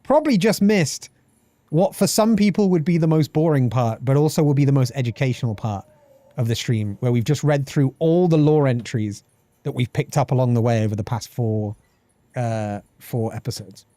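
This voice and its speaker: A man's voice: mid-range voice, normal speed, no room sound. A British man in his thirties chatting casually on a game live stream, swearing freely and reading chat aloud. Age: 30s. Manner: animated, swearing, reads chat aloud and laughs often.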